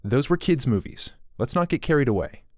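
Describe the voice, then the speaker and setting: Male narrator doing chirpy nervous young woman, hurried, placating, higher voice, dry. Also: lively intonation, a little mellow, dry recording, close to an everyday voice. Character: nervous young woman, eager to smooth things over.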